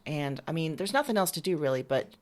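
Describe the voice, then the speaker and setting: A woman's voice: low voice, big pitch swings, fast. A woman co-hosting a podcast in casual, unscripted chat, with a dry, mock-serious sense of humor. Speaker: podcast co-host.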